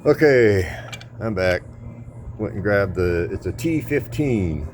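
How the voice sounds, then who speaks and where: Deep-voiced man rambling through a car repair. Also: lively intonation, takes it slowly, background noise. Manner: rambling, apologetic, talks to viewers while working. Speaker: vlogger working on his car. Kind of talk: casual DIY repair vlog. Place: garage or driveway, working on an engine. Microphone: phone camera set near him.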